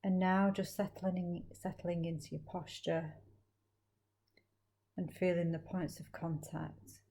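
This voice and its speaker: A woman leading a guided meditation as a teacher, speaking slowly and gently with long, soothing pauses. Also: lowish voice, little pitch movement, vocal fry.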